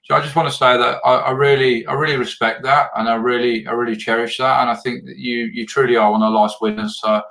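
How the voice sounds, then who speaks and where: A man hosts a live podcast over a video call. His voice sits in an ordinary middle pitch. He talks earnestly and often repeats words while he works out what he wants to say. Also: level tone, fast, gravelly, few pauses, a bit bright, poor audio. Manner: earnest, repeats words when searching for phrasing. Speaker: podcast host. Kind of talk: casual video interview.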